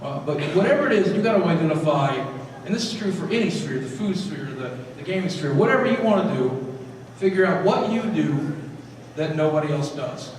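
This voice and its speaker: A man speaking to a live audience at a convention panel in an energetic, direct way, with quick restarts. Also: a little high. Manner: energetic, talks straight to the crowd with quick restarts. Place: large echoey convention hall. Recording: echoey convention hall from far off with noise. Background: hall ambience noise.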